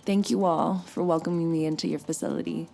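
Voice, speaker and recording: An actress playing a polite woman newspaper reporter, speaking in a courteous, measured way. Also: deep voice, fairly even intonation, vocal fry, over music. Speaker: journalist. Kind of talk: audio drama acting.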